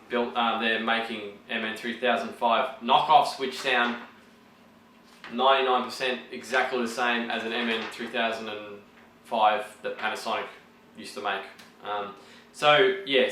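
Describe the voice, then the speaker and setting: A man talking through a build report in a casual, rambling way, full of ums and restarts, his voice croaky from having partly lost it. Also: medium pitch, sharp tone, roomy sound, a little hiss or hum, rough recording.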